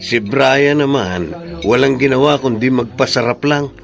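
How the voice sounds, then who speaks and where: An actor playing a protective, blunt older brother in a radio drama, speaking with a Filipino accent. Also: male voice, mid-range voice, moderate pace, gravelly, faint music behind, natural voice. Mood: stern, protective.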